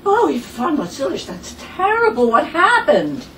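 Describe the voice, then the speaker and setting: A woman playing an excitable, quarrelsome grown daughter in a farcical stage comedy, with histrionic, emphatic delivery. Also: high-pitched, moderate pace, heavily acted. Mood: coaxing. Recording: echoey room, distant mic, clear noise.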